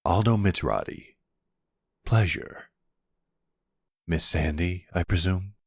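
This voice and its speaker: A man's voice: low-pitched, slow pace, no room sound, clean studio sound, heavily acted. An actor playing a suave middle-aged mafia boss and devoted family man, speaking tenderly in an intimate roleplay. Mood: suave, confident. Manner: tender, soothing, smooth-talking. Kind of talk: intimate ASMR boyfriend roleplay acting.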